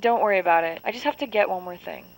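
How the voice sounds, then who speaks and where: A woman playing a secretive girl in an amateur horror cartoon, with stilted, drawn-out delivery. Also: mid-range voice, natural voice. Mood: irritated, evasive. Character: secretive cartoon girl hosting a sinister dinner. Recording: noisy lo-fi animation audio.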